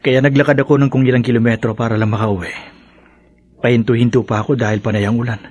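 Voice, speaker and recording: A man's voice: mid-range voice, steady tone, fast talker, darkish tone, natural voice. An actor playing a weary, poor middle-aged father in a radio drama, plaintive and bitter but loving.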